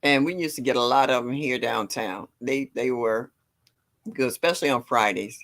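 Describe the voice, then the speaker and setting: A woman in her sixties from with a deep, low voice, chatting casually on a livestream, greeting viewers and laughing often. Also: normal speed, a bit bright. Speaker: livestream host. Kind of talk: casual chat reading viewer comments.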